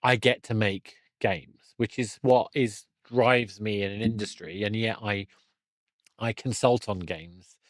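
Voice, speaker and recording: A man's voice: fairly low pitch, steady tone, unhurried, dry recording. Conversational male podcast host, dry close sound. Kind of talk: conversational interview.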